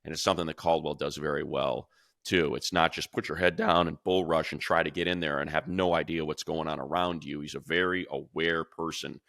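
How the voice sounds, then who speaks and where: Excitable deep-voiced man talking sports. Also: no room sound, clean studio sound. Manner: animated, excitable, rapid runs of analysis. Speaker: radio play-by-play announcer and co-host. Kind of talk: casual sports chat.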